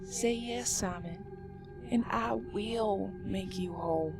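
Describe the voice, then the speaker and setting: A woman's voice: mid-range voice, takes it slowly, over music. A woman playing a coaxing otherworldly spider. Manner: gentle, wheedling, playful then hushed. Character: coaxing otherworldly female spider, sly and soft. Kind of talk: horror story voice acting.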